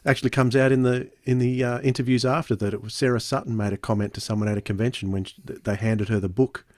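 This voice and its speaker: A man's voice: medium pitch, steady tone, quick pace, darkish tone, no echo, clean studio sound. A man hosting a podcast, reviewing casually and often doubling back on his words.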